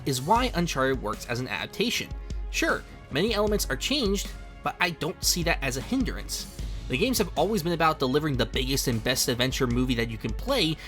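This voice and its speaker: Lively, higher-pitched man narrating a video essay. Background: music under the voice.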